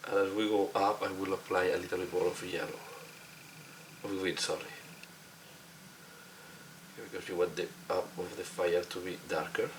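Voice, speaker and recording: A man with a non-native accent in English, hoarse from a cold, giving halting hands-on instruction as a tutorial host. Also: sits low, little pitch movement. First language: not English. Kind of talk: instructional commentary while demonstrating. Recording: clearly noisy recording.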